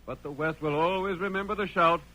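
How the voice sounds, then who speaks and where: A man's voice: on the high side, brisk, bright, forceful tone, rough recording. An American man narrating a vintage radio western in an emphatic, declamatory, dramatic scripted style. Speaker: announcer and narrator. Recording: old radio broadcast.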